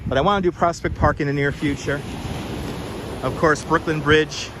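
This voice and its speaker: A man hosting a relaxed walking livestream outdoors, chatting easily and greeting viewers by name. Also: on the high side, moderate pace. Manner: easygoing, greets viewers by name, chuckles often. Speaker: livestream host. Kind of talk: casual walking livestream chat. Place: outdoors on a windy beach boardwalk. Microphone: wireless clip-on mic. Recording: wind noise on outdoor mic. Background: wind and crashing waves.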